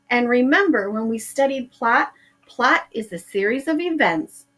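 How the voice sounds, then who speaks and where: Middle-aged female teacher, slow expressive read-aloud voice, slightly high. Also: low-quality recording. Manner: expressive, drawing out words for effect. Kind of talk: reading a picture book aloud to pupils.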